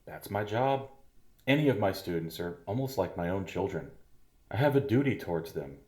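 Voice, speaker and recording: Casting: man as a measured, fatherly school head, very deep voice, echoey room. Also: animated, dark tone. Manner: soothing, paternal, measured. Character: middle-aged male school head, falsely kindly. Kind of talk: audio drama acting.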